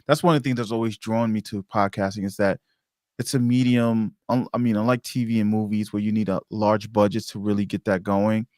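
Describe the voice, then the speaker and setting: A man's voice: lowish voice, little pitch movement, brisk, darkish tone, dry recording, studio quality. An American man hosting a podcast interview, chatting casually in an enthusiastic, colloquial way. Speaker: podcast host.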